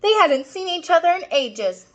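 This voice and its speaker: High-voiced woman reading a children's storybook. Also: moderate pace, lo-fi sound. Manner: expressive, explanatory, asks listeners questions. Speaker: storybook reader. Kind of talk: children's picture-book read-aloud with asides to young listeners.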